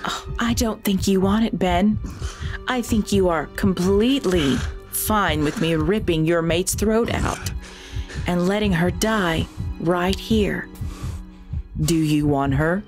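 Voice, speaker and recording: A woman acting a commanding, taunting supernatural mentor in a dramatic audio roleplay, with a deliberate, imperious delivery. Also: lowish voice. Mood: menacing, taunting. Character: commanding, taunting female supernatural mentor, menacing then caring. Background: soft background music.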